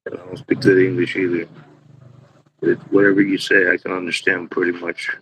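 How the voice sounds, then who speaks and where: A man with a deep, raspy voice hosting a live chat room, chatting casually to himself while he waits for people on a small external mic. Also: level tone, a little fast, fairly bright tone, slight background noise, low-quality recording. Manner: rambling, talks to himself while waiting.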